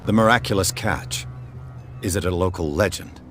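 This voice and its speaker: An actor playing a composed, dogged detective in a video game, with a terse, dry, questioning way of talking. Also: male voice, sits low, normal speed, a bit bright, faint music behind. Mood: inquisitive.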